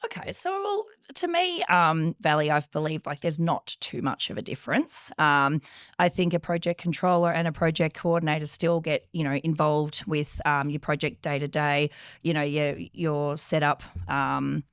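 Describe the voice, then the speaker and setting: A woman's voice: sits low, moderate pace, clean tone, dry recording, clean studio sound. A young woman being interviewed as a guest, answering hesitantly with many fillers while losing her voice. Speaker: project coordinator guest.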